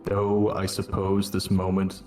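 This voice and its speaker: A man voicing a weary, noble robot war commander in a cartoon fan dub, grave, measured and earnest. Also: deep voice, completely flat delivery, darkish tone, soft background music. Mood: grave, resolute. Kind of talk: dramatic first-person narration in a cartoon fan dub.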